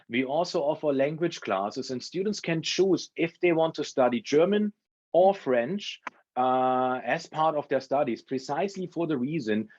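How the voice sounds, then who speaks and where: A man speaking as a culinary school representative, explaining information in a measured, careful way during an interview-style talk. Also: mid-range voice, a little mellow, lo-fi sound. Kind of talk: informational interview answers at an education fair talk.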